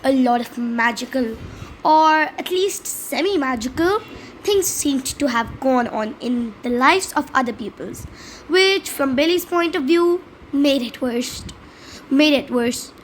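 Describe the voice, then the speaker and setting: Female read-aloud host, high voice, children's storybook narration with slight stumbles, faint noise behind. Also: lively intonation, measured pace, rough recording.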